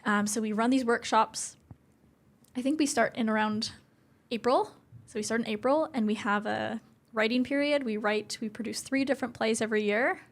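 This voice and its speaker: A woman's voice: on the high side, moderate pace. A woman talking casually as a podcast guest, explaining her teaching work. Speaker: guest, youth theatre instructor.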